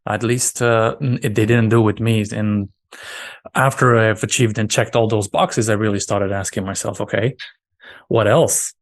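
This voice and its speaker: A man's voice: on the low side, steady tone, moderate pace. A man from Romania, a guest in an interview, talking earnestly and often restarting his phrases. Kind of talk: casual interview talk.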